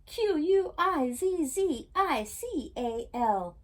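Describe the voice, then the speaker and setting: A woman's voice: sits high, animated, takes it slowly, voice pushed up. A woman voicing an eager, overworked young girl bee in a storybook, exclaiming dramatically. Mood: shouting.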